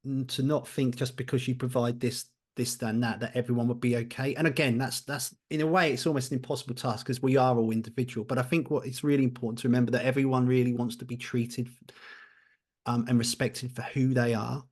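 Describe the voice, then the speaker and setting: Man, medium pitch, blunt, informal, candid podcast guest, conversational. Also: little pitch movement, brisk, a little mellow. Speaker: guest, mental health practitioner and speaker.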